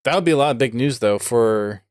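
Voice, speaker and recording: A man's voice: mid-range voice, a little fast, smooth, dry recording, studio quality. A man hosting a podcast in casual conversation, thinking aloud as he talks. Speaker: podcast host.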